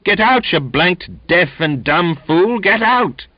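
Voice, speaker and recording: A man voicing a bullying, quarrelsome male sailor who snarls and shouts insults. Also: on the high side, big pitch swings, a little fast, dry recording, pitched above the performer's own voice. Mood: snarling. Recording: dull lo-fi dry recording.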